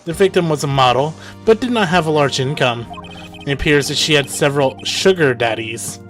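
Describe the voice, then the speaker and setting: A man's voice: a little high, brisk, a bit bright, natural voice. A man voicing a smug, formal prosecutor in a courtroom game, speaking pompously. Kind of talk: voiced visual novel read-through on a game stream. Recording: faint music behind the voice.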